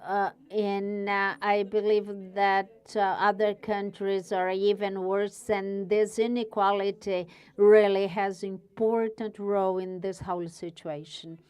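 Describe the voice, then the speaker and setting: A woman's voice: mid-range voice, flat delivery, a little slow, few pauses, a little mellow, clear, resonant tone. A woman from Portugal with a European Portuguese accent, giving a conference presentation as a university researcher and choosing her words carefully. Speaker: guest speaker, university researcher.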